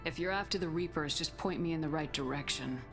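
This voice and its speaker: A woman's voice: deep voice, fairly quick, natural voice. An actress playing a tough, guarded female military commander in a video game, speaking bluntly and firmly. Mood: skeptical, firm. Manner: blunt, firm, questioning. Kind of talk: video game cutscene acting. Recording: music behind the voice.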